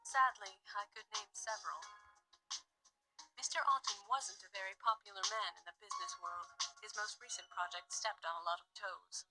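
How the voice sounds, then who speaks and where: A woman voicing a guarded, formal wife in a murder mystery visual novel, with measured, precise speech. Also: mid-range voice, fairly even intonation, sharp tone, soft background music, low-quality recording. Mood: measured, explanatory.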